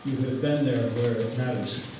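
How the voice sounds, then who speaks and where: A man acting as master of ceremonies, announcing formally but haltingly in a hall. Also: medium pitch, steady tone. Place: large echoey indoor hall. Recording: very echoey hall, distant mic, loud noise.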